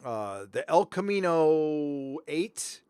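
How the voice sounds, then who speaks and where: Casting note: middle-aged male streamer, slightly high voice, loose and lively, dry close mic. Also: slow, clear, resonant tone, dry recording. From Southern California. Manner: loud and animated, swings from mumbles to shouts. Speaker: livestream host. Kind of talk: casual livestream chat reading donations.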